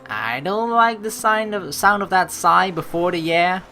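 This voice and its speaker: A young man hosting a let's play, reading the story aloud and adding expressive, rambling commentary. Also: high-pitched, a little slow, over music.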